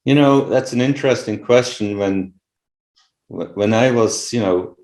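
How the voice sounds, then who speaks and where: Middle-aged swiss guy, low voice, thinking out loud, lots of "you know" and "right?", roomy echo. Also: a little slow. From Switzerland. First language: not English. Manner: thoughtful, frequent "you know" and "right?" asides. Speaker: interviewed scientist. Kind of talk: conference interview, conversational. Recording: echoey room.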